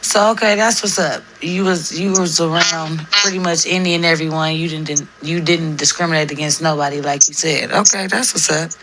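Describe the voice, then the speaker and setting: A woman's voice: low-pitched, steady tone, brisk, few pauses, noisy background. A woman hosting a casual interview podcast who asks questions in a chatty, slangy way and laughs easily. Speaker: podcast host.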